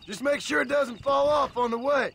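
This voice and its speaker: An actor playing a curt, impatient and menacing Old West gunslinger in a video game. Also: male voice, very high voice, moderate pace, vocal fry, very noisy. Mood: urgent. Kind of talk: dramatic video game acting.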